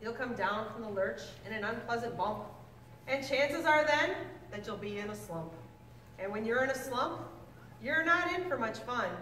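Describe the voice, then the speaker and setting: A woman reading a children's book aloud to a class, with expressive, deliberate storybook phrasing. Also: on the high side. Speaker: teacher reading aloud to sixth grade students. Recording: echoey hall, distant mic, faint noise.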